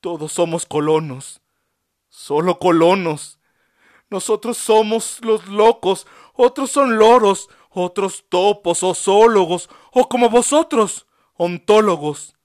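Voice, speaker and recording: A man doing a frantic, shrill asylum patient. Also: extremely slow, long pauses. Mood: impassioned. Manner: shrill pleading outbursts. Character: frantic male asylum patient fighting shock treatment.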